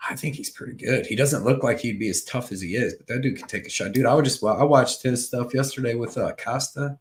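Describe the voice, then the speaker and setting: A man's voice: medium pitch, fairly quick. A man in his early forties from Ohio, co-hosting a casual live stream in a laid-back way, saying "dude" a lot. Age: 40s. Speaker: co-host.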